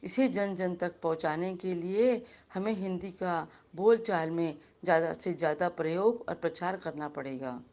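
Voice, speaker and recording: A woman giving a recited speech in praise of a language, slow and earnest. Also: fairly low pitch, a little mellow. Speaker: speaker at a Hindi day event.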